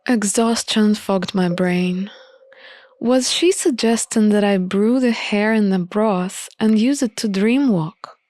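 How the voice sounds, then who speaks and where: A woman's voice: mid-range voice, darkish tone, no room sound, clean studio sound. A woman reading scripted first-person story narration for a visual novel playthrough.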